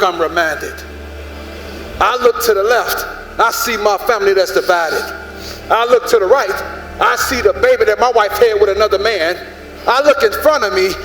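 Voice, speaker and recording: A man's voice: high voice, fairly bright tone. An actor playing an anguished enslaved husband and father in an emotional, theatrical stage drama. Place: large indoor theater stage. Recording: echoey theater stage, music playing underneath.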